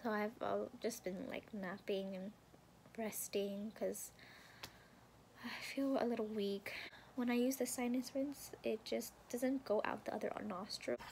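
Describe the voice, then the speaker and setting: A woman's voice: medium pitch, fairly even intonation, unhurried, darkish tone, a little hiss or hum, poor audio. A young woman chatting casually through a personal vlog update on her recovery, rambling with plenty of fillers and sometimes sounding congested. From California. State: congested and recovering after surgery, sometimes whispering. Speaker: vlogger.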